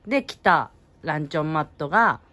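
A woman's voice: deep voice, lively intonation, brisk, sharp tone. A woman co-hosting a family channel, casually chatting while unboxing anime goods and recounting each item.

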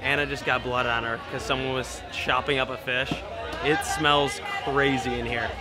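A man's voice: medium pitch, little pitch movement, normal speed, over loud music. A young man vlogging to a handheld camera at an outdoor night market, chatty and upbeat. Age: young adult. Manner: upbeat, chatty, talks to camera and haggles with vendors. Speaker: travel vlogger. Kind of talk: casual travel vlog narration. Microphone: camera held at arm's length. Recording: loud crowd and street noise behind.

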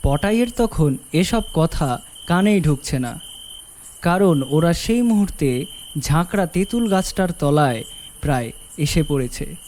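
A man's voice: a little high, expressive, measured pace, darkish tone, a little hiss or hum. A man narrating a scripted horror story as the storyteller.

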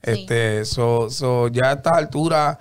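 A man's voice: medium pitch, takes it slowly. A man hosting a casual studio chat, rambling with many restarts and mixing English words into his Spanish. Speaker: public adjuster, show host. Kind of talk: casual radio-show chat. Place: small studio with round table. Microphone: studio mic on boom arm, headphones.

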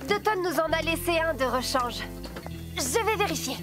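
Young cartoon builder, very high-pitched, lively, confident, encouraging, music behind. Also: female voice, normal speed. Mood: helpful. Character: peppy, confident young woman builder and snowboard coach. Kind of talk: French-dubbed children's cartoon acting.

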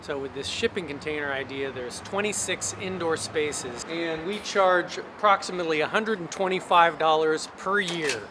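A man's voice: a little high, normal speed, few pauses, noisy background. A man who develops buildings, explaining a project fluently in an interview outdoors in a city alley.

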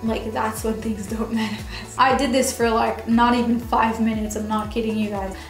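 A woman's voice: fairly high pitch, flat delivery, moderate pace, faint music behind. A young woman vlogging to camera in a casual, chatty way, sounding nasal and congested from a cold. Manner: chatty, lots of "like" and "you know", laughs often. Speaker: manifestation vlogger. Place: bedroom dressing area, indoors. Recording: roomy echo.

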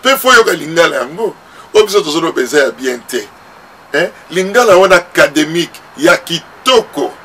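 A man's voice: fairly high pitch, big pitch swings, normal speed, sharp tone, distant mic, slight background noise. A middle-aged man with a Congolese accent, a pastor speaking earnestly to the camera and moving between French and Lingala. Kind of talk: talk to camera. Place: outdoor porch by a garden.

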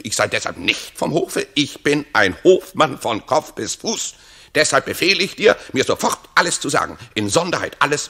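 A cheeky con man putting on courtly airs in vintage radio comedy. The voice is sharp and gravelly, pompous and bullying, leaping about as he lords it over everyone. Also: medium pitch. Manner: pompous, bullying, rapid-fire questioning. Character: cheeky male rogue peddler posing as haughty courtier. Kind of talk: 1960s German radio play acting.

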